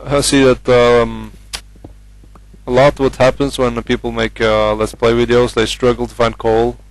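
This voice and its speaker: A man's voice: medium pitch, flat delivery, a little slow, dry recording, rough recording. A young man from Norway with a Norwegian accent, casually commentating his game with many hesitant fillers. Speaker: gamer.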